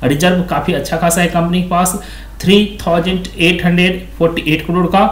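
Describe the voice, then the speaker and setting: Man, high voice, stock review host, conversational, repetitive phrasing, echoey room. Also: flat delivery, brisk. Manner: conversational, repeats stock phrases like "quite excellent". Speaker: stock market video host. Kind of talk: screen-share stock review.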